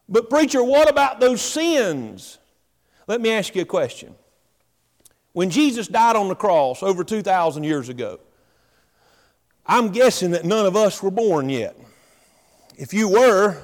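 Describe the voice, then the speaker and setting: High-voiced preaching man in reverberant hall. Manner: preaching with rhetorical questions and asides to the congregation. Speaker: pastor. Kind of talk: sermon. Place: church sanctuary. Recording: long reverb of a large hall.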